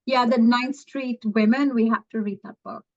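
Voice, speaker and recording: A woman's voice: fairly high pitch, fairly even intonation, moderate pace. Chatty woman in a lo-fi recording. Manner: chatty, fillers and restarts. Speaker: study group member. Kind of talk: casual group discussion.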